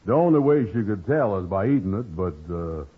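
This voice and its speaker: A man playing a slow-witted, self-important big lug with a folksy, ponderous drawl in a 1950s radio comedy. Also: lowish voice, lively intonation, slightly rough. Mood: smug, lovestruck. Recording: tinny, hissy old radio recording.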